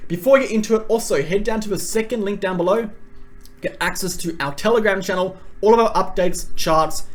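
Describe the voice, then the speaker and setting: Man, slightly hoarse, fairly high voice, brisk and emphatic, crypto market analysis. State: voice going a bit hoarse.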